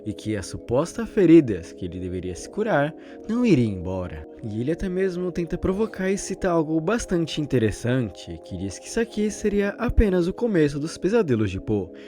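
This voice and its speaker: Young male Brazilian video essayist: expressive pitch movement, wordy conversational storytelling, clear music bed underneath. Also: a little fast, hardly any pauses. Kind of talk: conversational scripted commentary. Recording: background music under the narration.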